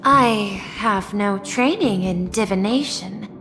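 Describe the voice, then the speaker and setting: A woman playing a refined, calculating machine leader in a video game, speaking formally and analytically with calm courtesy. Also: medium pitch. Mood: composed, courteous. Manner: measured, formal, analytical wording. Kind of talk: scripted game voice acting. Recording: long reverb, background music.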